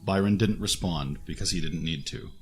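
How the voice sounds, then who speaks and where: A man's voice: low-pitched, moderate pace, soft background music. A man reading scripted narration for a fantasy action audio drama as its narrator.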